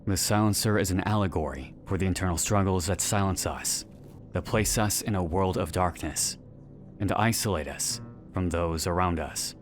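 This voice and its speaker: Male narrator, low register, earnest and measured scripted analysis read, soft music bed. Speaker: narrator of a series analysis video. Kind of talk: scripted narration, video essay. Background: background music.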